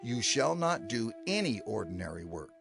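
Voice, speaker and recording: A man giving a solemn, declarative dramatized reading of scripture in measured phrases. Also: on the low side, sing-song, a bit bright. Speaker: scripture reader. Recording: clear music bed behind voice.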